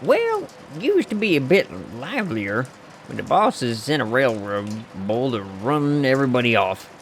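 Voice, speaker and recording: A man voicing a friendly, teasing hobo drifter with a folksy, unhurried way of talking, reading a game character's lines aloud. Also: mid-range voice, sing-song, a little hiss or hum.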